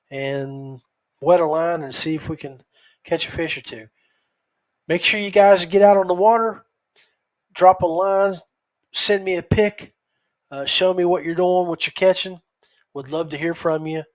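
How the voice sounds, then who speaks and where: An American man talks at a slow, unhurried pace, with a voice pitched a little high for a man. He sounds like a folksy podcast host chatting off the cuff, halting and rambling as he goes. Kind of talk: casual rambling solo podcast talk.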